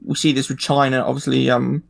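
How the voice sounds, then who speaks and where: A man in a casual political discussion, talking in a halting, searching way. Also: mid-range voice, monotone, creaky. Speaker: panelist.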